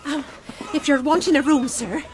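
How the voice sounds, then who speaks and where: A woman's voice: sits high, moderate pace, lightly acted. A woman playing a polite, hesitant innkeeper's wife in a radio drama. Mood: flustered, polite. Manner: deferential, hesitant.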